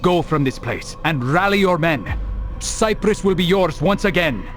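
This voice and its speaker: A stern assassin from a medieval video game, slightly high voice, terse and solemn, bit of music behind him. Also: male voice, animated, unhurried. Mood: commanding, defiant. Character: stern, resolute medieval assassin, adult man. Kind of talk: video game cutscene acting.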